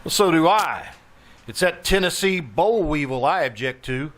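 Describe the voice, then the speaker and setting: A man's voice: a little high, expressive, normal speed, a bit bright, slight background noise. A man playing a fussy, sarcastic, fretful businessman husband in a 1940s-style radio comedy. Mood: exasperated. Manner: fretful, complaining. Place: live small theater stage.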